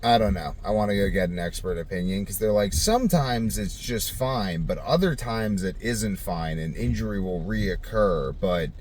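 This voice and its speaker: Low-voiced man rambling on a vlog. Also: normal speed, hardly any pauses, darkish tone, a little hiss or hum. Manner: rambling, thinking aloud. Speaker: vlogger. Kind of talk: casual off-the-cuff video diary.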